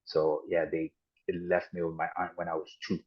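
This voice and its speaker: A man raised in Ghana and the United States, a podcast guest recounting his childhood in a halting, reflective way with frequent restarts. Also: low voice, monotone, breathy edge. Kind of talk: personal storytelling in an interview. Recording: dull lo-fi audio.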